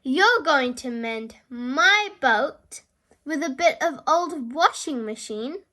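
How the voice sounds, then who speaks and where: A woman's voice: sits high, animated, slow, bright, forceful tone, heavily acted. A woman voicing a kindly old grandfather pig, a boat captain in a children's storybook, with hearty, emphatic delivery. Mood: questioning.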